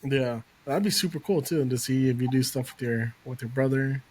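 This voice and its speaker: A man's voice: medium pitch, moderate pace, darkish tone, slightly rough, low-quality recording. A man hosting a podcast, chatting casually and enthusiastically, swearing freely. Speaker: podcast host. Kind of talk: casual interview chat.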